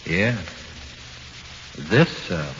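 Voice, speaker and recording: An actor playing the ghost of an executed gangster in 1940s radio horror, talking tough, streetwise slang with cool menace. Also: male voice, sits low, very animated, very slow, exaggerated voice. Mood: casual, cool. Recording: muffled hissy 1940s radio recording.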